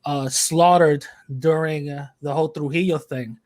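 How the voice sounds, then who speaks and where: A man's voice: a little high, fairly even intonation, moderate pace, a little mellow, no echo. A young man, chatting casually as a podcast guest, joking and rambling with many fillers. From New York City, USA. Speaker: podcast host, interviewee. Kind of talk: casual interview chat.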